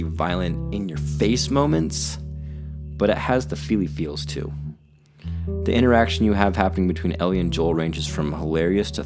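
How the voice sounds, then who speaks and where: A man co-hosting a gaming video, talking casually and enthusiastically about favorite games. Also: low-pitched. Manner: enthusiastic, playful. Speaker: co-host of a gaming video channel.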